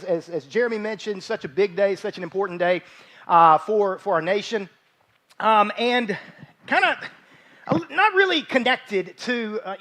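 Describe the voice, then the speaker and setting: This is a man in his forties with a notably high-pitched voice, preaching to his church. He teaches in an informal, conversational way, with a folksy manner. He often repeats a phrase to drive it home. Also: a bit bright. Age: 40s. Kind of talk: conversational sermon with joking asides. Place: church service, speaking live from a stage.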